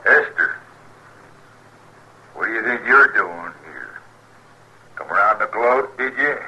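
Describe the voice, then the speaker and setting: An American actor playing a mean, sneering frontier husband in a 1950s radio western, with crude rustic speech. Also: male voice, on the low side, lively intonation, slow pace, pushed and bright, breathy edge, exaggerated voice. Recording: dull, noisy old radio recording.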